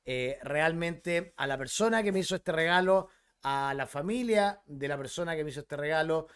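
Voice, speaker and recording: A man in his fifties from Chile with a Chilean accent, hosting a casual unboxing video from a dark home studio on a headset mic, eager and repetitive with many hesitations. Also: on the high side, normal speed, clean tone. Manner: enthusiastic, repetitive, frequent hesitations. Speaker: YouTube host.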